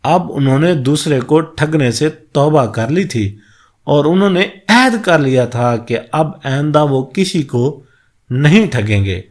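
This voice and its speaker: A man tells a moral folk tale in an expressive storyteller's style. His pitch moves widely as he goes, and he sits a little higher than most men. The delivery is slow and measured, suited to a scripted narration.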